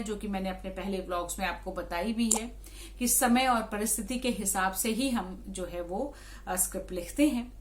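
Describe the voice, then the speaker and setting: A woman talking to viewers in an explanatory, conversational vlog style, restating points for emphasis. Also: mid-range voice. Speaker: radio jockey and vlogger.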